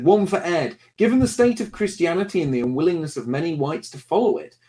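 A man hosts a casual livestream, reading donor names and their questions at a brisk clip. His voice sits a touch higher than most men's, with small laughs between messages. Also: a little mellow. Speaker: livestream host reading donations.

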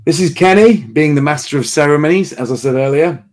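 Slightly hoarse man hosting a livestream. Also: fairly high pitch, brisk, a little mellow. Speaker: host of a livestream. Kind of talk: casual livestream chat. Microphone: livestream call microphone.

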